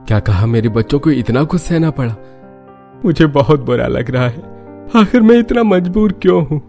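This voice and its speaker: A man's voice: a little high, lively intonation, normal speed, warm tone, faint music behind. An actor playing a poor, helpless village father in a cartoon, pleading and sorrowful. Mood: anguished.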